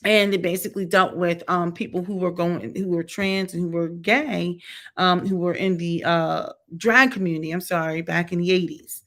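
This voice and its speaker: A woman's voice: lowish voice, steady tone, moderate pace, fairly bright tone. A middle-aged woman giving candid, casual opinion commentary as a vlogger, talking in a chatty, blunt way with mocking asides. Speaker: vlogger reviewing a TV show.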